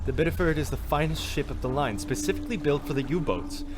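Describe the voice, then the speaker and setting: An actor playing a young, dutiful navy lieutenant who speaks formally and earnestly. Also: male voice, fairly high pitch, quick pace, background noise, natural voice. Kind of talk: amateur radio drama. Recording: music underneath.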